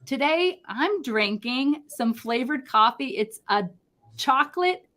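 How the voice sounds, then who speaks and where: A woman's voice: sits high, lively intonation, slow, sharp tone. A woman hosting a video-call interview show, reading a guest introduction and then chatting in an upbeat, effusive way. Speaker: host, life coach.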